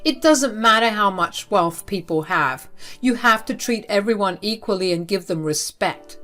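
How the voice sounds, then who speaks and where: A woman's voice: medium pitch, expressive, moderate pace, a bit bright, faint music behind, natural voice. Brief: a blunt, reproachful young man, read by a woman in her own voice. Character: indignant grown son.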